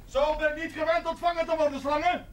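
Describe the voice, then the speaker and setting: An actor playing a bold, easily offended village man, loud and blunt, in a Dutch period TV drama. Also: extremely high pitch, level tone, quick pace, slight background noise. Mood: indignant.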